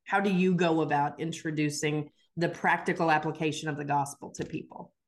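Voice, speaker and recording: A woman with a slightly low voice hosts a faith-based interview. She opens with a spoken prayer and then asks earnest, gently worded questions. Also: fairly even intonation, fairly quick. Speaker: host.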